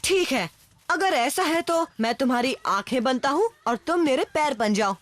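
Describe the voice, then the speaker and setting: A woman's voice: high voice, animated, normal speed. A woman voicing a brave, friendly young boy in a cartoon, eager and reassuring. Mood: concerned, helpful. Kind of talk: animated cartoon dubbing.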